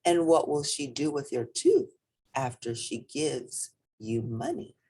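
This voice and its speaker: A woman voicing a kindly, indulgent father rabbit in a storybook, bemused and gently firm. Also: deep voice, big pitch swings, slow pace, breathy edge, exaggerated voice.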